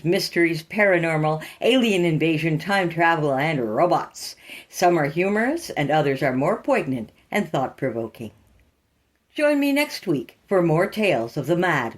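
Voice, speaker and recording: A woman reading scripted introductions and sign-offs as a podcast host. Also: sits low.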